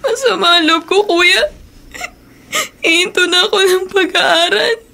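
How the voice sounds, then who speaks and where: A woman's voice: very high voice, a little slow, gravelly, sharp tone, heavily acted. An actress playing a tearful, anxious teenage schoolgirl in a Filipino radio drama, plaintive and whiny, with a Filipino accent. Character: tearful teenage high school girl, anxious younger sister.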